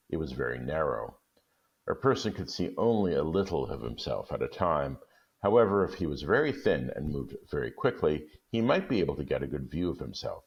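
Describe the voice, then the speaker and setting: A man reading a classic short story aloud in a steady, measured way. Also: extremely low pitch, lo-fi sound. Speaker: guest reader.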